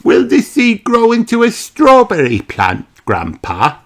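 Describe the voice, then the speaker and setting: A man voicing a cheeky, excited little girl pig in a children's storybook, eager and proclaiming. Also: very high-pitched, unhurried, pitched above the performer's own voice, heavily acted. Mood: curious, keen.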